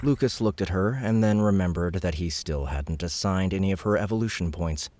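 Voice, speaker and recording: A man with a very deep voice narrates a fantasy audiobook. His telling is steady and measured. The sound is dry and close. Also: no echo.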